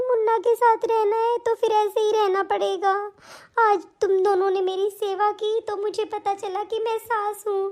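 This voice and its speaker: A woman acts out an elderly mother-in-law from a family cartoon. The voice is extremely high, almost childlike. She is plaintive and motherly, a long-suffering soul who dotes on her family. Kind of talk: melodramatic family cartoon dialogue.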